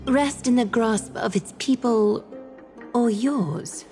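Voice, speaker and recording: A woman voicing a noble demigod ruler in a video game, composed, stern and resolute, with formal, measured delivery. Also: fairly high pitch, mellow, soft background music. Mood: firm defiance. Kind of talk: game voice acting.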